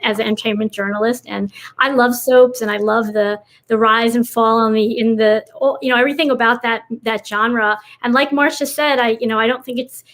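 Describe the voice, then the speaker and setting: Female interview guest, slightly high-pitched, effusive and conversational, frequent restarts, video-call setting. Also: fairly even intonation, brisk, few pauses, fairly bright tone. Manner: effusive, restarts phrases often. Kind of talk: casual interview chat.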